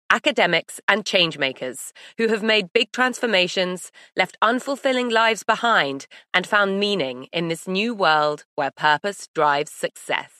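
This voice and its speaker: This is a woman hosting a podcast, with a mid-range voice and a measured, upbeat delivery. The recording is dry and close, with faint music underneath. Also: mid-range voice, pushed and bright, dry recording. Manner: measured, upbeat reading of the intro. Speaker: podcast host. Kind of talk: scripted intro, then interview questions.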